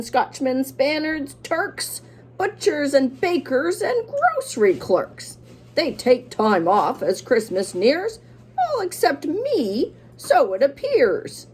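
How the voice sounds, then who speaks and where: A man's voice: very high voice, darkish tone, husky, voice pushed up, exaggerated voice. Woman reading as weary, achy old Santa Claus.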